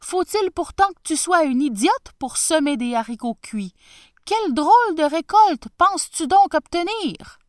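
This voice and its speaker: A woman's voice: medium pitch, animated, unhurried, clean tone, no echo, studio quality, close to an everyday voice. A woman voicing a vain, proud tsar who commands and threatens. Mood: mocking. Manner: haughty and commanding.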